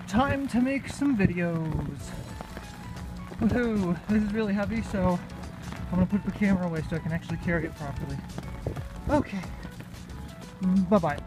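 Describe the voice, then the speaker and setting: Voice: mid-range voice, rough recording. A vlogger chatting casually into a handheld phone in a playful, rambling way. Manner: playful, rambling, breaks into silly singing. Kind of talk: casual vlog chat. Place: handheld while walking outdoors, in a store and in a room.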